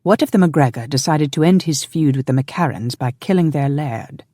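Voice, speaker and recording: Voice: medium pitch, brisk, dark tone, no room sound, clean studio sound, lightly acted. A narrator voicing a protective, exasperated husband who is commanding and sardonic but loving. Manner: stern, sardonic, insistent.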